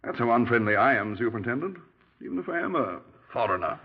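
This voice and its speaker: An actor playing a genial, breezy middle-aged British retired major in a 1950s radio drama, clipped and dismissive. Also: male voice, mid-range voice, big pitch swings, quick pace, slightly rough. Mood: affable. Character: genial, dapper retired army major, breezy small-town murder suspect. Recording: muffled 1950s radio recording.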